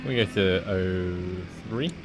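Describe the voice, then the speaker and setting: A man's voice: low-pitched, slow pace. A man in his twenties giving casual, slangy commentary and chatting with a friend while he plays a game. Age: 20s. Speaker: gamer recording gameplay with a friend. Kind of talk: casual gaming chat and live commentary. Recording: clear gunfire and vehicle game noise behind. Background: war video game sounds.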